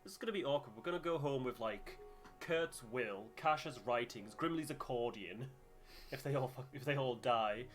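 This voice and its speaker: A man's voice: mid-range voice, moderate pace. A man giving casual playthrough commentary on a video game, joking and chatty, sometimes muttering to himself. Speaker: gaming channel player. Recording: clear music behind the voice.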